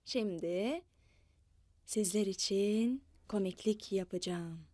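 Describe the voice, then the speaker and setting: A woman's voice: medium pitch, slow pace, mellow, a little hiss or hum, natural voice. A woman voicing a cheerful entertainer who coaxes children in a playful, sing-song way and moos at them. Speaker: children's show storyteller. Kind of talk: animated dubbed children's narration.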